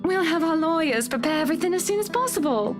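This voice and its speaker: A woman's voice: very high voice, animated, fast. An actress playing a genteel, bossy wealthy businesswoman in a visual novel, with a polished, breezy, commanding manner. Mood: polite, brisk. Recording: game audio with music behind.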